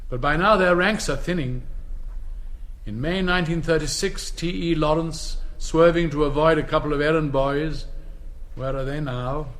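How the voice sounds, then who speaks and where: An actor playing a nostalgic narrator of old England in a radio play, with a measured, drily witty, literary delivery. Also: male voice, fairly high pitch, fairly even intonation, a bit bright, close to an everyday voice. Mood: wry, elegiac. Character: nostalgic, wry narrator chronicling old England's fading society.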